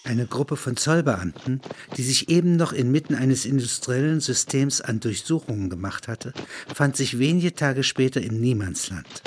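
A man's voice: mid-range voice, background noise. A man reading literary narration like a documentary report, sober and matter-of-fact.

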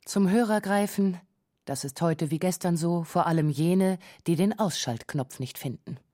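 Low, mellow female documentary narrator. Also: normal speed, dry recording, studio quality. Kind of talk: scripted narration. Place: dry studio.

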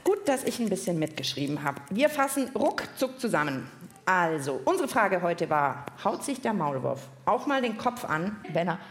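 A woman hosting a live science podcast for children, speaking in an animated way and often putting questions to her audience. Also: lowish voice, moderate pace. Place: indoor live podcast festival stage. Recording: echoey live venue, faint noise.

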